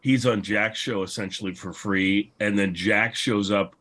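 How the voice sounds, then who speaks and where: Male moderator, low register, loose conversational delivery, feels his way into questions with repeated words. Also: steady tone, unhurried, bright, forceful tone. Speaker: panel moderator. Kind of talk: casual panel discussion of old-time radio.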